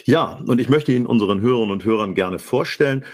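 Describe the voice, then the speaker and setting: A man's voice: medium pitch, expressive, fast, darkish tone, no room sound. Brief: podcast host, male, slightly nasal from a cold, dry close mic, welcoming and formal. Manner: friendly, formal introductions. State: stuffy nose. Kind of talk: casual podcast talk. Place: home studio, remote call.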